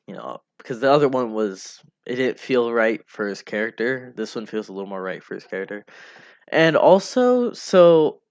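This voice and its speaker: A man giving casual, unscripted devlog commentary in a rambling, halting way, often repeating himself. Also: medium pitch. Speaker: hobby game developer narrating a devlog. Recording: dull lo-fi mic under music. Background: music.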